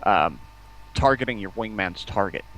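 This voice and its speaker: A man talking casually as a livestream guest, in a halting, stop-start way. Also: fairly low pitch, expressive, fairly bright tone, low-quality recording. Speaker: guest, community manager. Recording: faint background noise.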